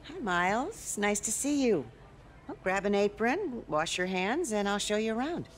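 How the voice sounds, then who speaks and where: A woman's voice: mid-range voice, big pitch swings, moderate pace, a little hiss or hum, lightly acted. A woman playing a kindly, practical older aunt in a video game cutscene. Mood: welcoming.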